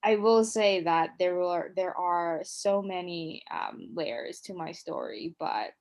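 Reflective woman, lowish voice. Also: takes it slowly, creaky, a little mellow. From United States. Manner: reflective, many fillers and restarts. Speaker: podcast co-host. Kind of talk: casual conversational storytelling.

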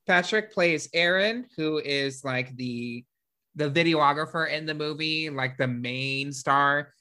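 A man's voice: a little high, slow, sharp tone. A man co-hosting a casual podcast, talking in a slangy, playful and profane way. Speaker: podcast co-host. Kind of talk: casual chat about horror movies.

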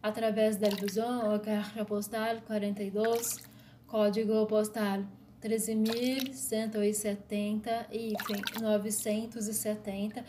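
A woman with a Brazilian Portuguese accent reads her piece aloud in short, even phrases, keeping her voice flat. She moves slowly and deliberately from one phrase to the next. A steady hiss of noise sits under the recording. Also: medium pitch. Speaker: blogger. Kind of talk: read-aloud commentary.

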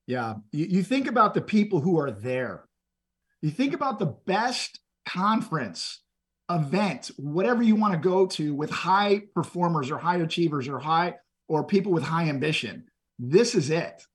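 A man's voice: a little high, expressive, normal speed, breathy edge. A middle-aged man from Texas, telling stories and giving motivational advice in an earnest, conversational way as a podcast guest. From Texas, USA. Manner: earnest, motivational, repeats words when starting phrases. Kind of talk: conversational storytelling.

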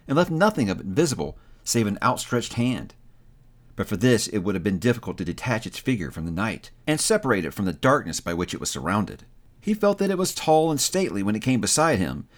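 Expressive male storyteller, lively pitch. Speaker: narrator. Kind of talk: dramatic audiobook narration.

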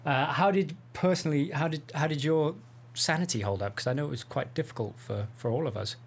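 A man's voice: a little high, a little fast, husky. A man hosting a casual, conversational interview, asking questions and chatting along. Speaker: host, interviewer.

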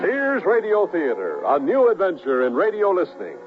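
Animated male radio announcer, highish voice. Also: normal speed. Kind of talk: formal scripted radio announcing. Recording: narrow-band radio broadcast, music behind.

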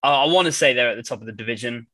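A man's voice: mid-range voice, racing, bright, forceful tone, dry recording. A man hosting a casual podcast, upbeat and jokey, sounding a bit nasal and congested from a cold. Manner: upbeat, jokey, laughs often. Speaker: podcast host. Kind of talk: casual chat.

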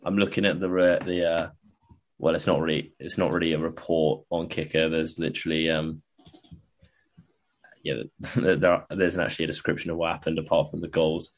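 A man co-hosting a podcast, chatting casually in a hesitant way with many pauses and restarts. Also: rumbling low, level tone. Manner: hesitant, many ums and restarts, chuckles often. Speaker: podcast co-host. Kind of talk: casual chat.

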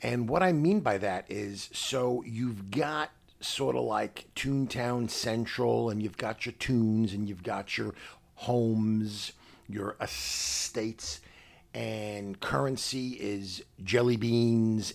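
A man with a New York accent co-hosts a casual podcast. His voice is husky and fairly low, with a heavy creak to it. He explains things in a halting, measured way. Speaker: podcast co-host.

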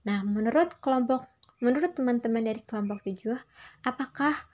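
A young woman with a fairly high voice speaks as a student presenting to classmates. Her delivery is polite and formal, and she often restates a phrase in slightly different words. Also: a little fast, darkish tone. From Indonesia. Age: young adult. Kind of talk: prepared spoken class response.

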